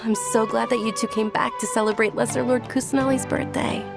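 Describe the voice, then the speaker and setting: A woman playing a gentle, gracious young woman in a video game. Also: fairly high pitch, steady tone, a little fast, creaky, music in the background. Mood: glad, welcoming. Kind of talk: video game character acting.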